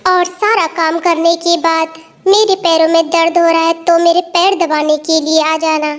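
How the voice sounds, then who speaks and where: A woman's voice: extremely high pitch, level tone, moderate pace, voice pushed up. A woman voicing an older, bossy mother-in-law in a Hindi cartoon story, with an emphatic way of talking. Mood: scolding. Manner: scolding, sarcastic, emphatic.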